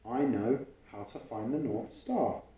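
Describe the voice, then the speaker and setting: A man reading a picture book aloud to a child at home, pausing to explain and ask questions along the way. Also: mid-range voice, dark tone, distant mic. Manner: explains and asks questions as he reads. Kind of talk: storytime read-aloud with chatty asides to a child. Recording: roomy, echoey home recording.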